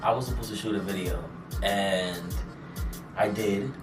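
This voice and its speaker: A man in his late twenties from New York talks in a relaxed, teasing way during a couple's Q&A. His voice is very low and heard from a distance. An echoey room carries music behind him. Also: unhurried. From New York, USA. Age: late 20s. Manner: laid-back, dry teasing jokes. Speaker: vlog co-host. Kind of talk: casual Q&A chat. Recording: roomy, distant mic, music underneath.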